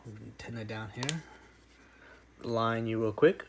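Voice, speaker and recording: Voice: medium pitch, measured pace. Casual product reviewer in a noisy recording. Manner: informal, thinking aloud. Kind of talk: casual unboxing commentary. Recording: clearly noisy home recording with clicks.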